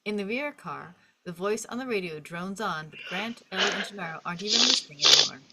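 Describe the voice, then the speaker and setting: A woman narrating the stage directions at a script table read in an expressive storytelling manner. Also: mid-range voice, quick pace. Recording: faint background noise and clicks.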